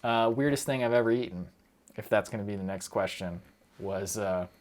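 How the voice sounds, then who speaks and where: Young guy streaming, talks fast and rambles, keeps cutting himself off, lots of likes and ums. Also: on the low side. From United States. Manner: rambling, quick, self-interrupting with fillers and laughs. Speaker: streamer answering chat. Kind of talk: casual live stream chat.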